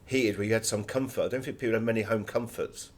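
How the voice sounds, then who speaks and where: A man's voice: on the low side, steady tone, quick pace. A man. He speaks as an author being interviewed, answering conversationally and telling anecdotes as he goes.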